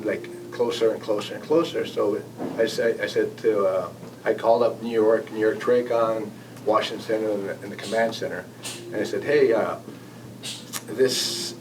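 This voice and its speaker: An American man giving an informal spoken recollection as a guest speaker, in a halting, conversational manner. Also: lowish voice. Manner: halting, conversational, acting out quoted exchanges. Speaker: guest speaker, air traffic manager. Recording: distant mic, noisy room ambience.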